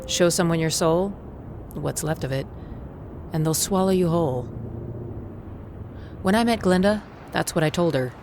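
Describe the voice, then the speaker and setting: A woman's voice: lowish voice, moderate pace, pauses often, background noise. A woman reading a scripted first-person dramatic story in a world-weary, cynical manner. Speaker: fiction reader. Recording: prominent music behind voice.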